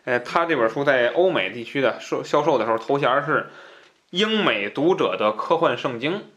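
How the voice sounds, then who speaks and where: A man's voice: medium pitch, animated, a little fast, a bit bright. A man hosting a book podcast, chatting in a colloquial way and given to chuckling at his own asides. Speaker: podcast host. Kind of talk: casual book talk show chat.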